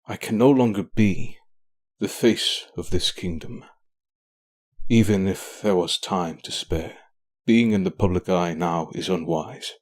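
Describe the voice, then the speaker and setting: A man playing a weary, gentle old king in an audio drama, speaking in a formal, measured way. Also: deep voice. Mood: weary, grave. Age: older.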